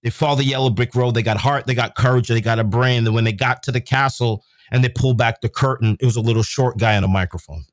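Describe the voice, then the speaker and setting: Relaxed man, dry close sound. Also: medium pitch, fast talker, hardly any pauses, no echo. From England. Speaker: podcast host. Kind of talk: casual chat.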